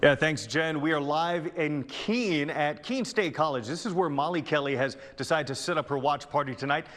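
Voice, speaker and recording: A young man reporting the news on local television, with a crisp, measured newscast delivery. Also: a little high, a bit bright.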